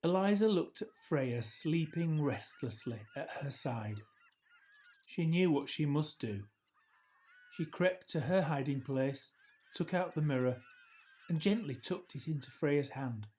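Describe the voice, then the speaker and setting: Slow, mellow male children's storyteller. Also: a little high, expressive. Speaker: storybook reader. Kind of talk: scripted children's story narration, read aloud.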